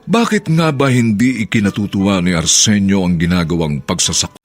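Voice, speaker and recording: A man's voice: lowish voice, animated, normal speed. A man with a Filipino accent delivering dramatic scripted narration as a radio drama announcer. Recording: music clearly behind the voice.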